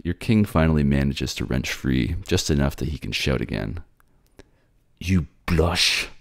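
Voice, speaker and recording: A man's voice: rumbling low, brisk, vocal fry, a little mellow, no room sound, studio quality. A man narrating a scripted second-person fantasy drama as a storyteller. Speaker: narrator and voice actor.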